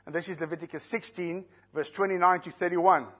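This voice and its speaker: A man, an Afrikaans speaker, teaching a sermon verse by verse, slowing down to stress key words and putting questions to the congregation. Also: high voice, steady tone, fairly bright tone, breathy edge. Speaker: preacher. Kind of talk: expository Bible teaching.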